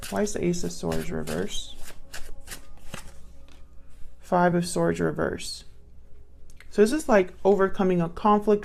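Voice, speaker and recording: A woman's voice: on the low side, darkish tone. A woman giving a casual spoken tarot reading, often repeating her prompts to herself as she goes. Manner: murmurs card prompts to herself, repeating them. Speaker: tarot reader, channel host. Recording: clear background noise with card shuffling clicks.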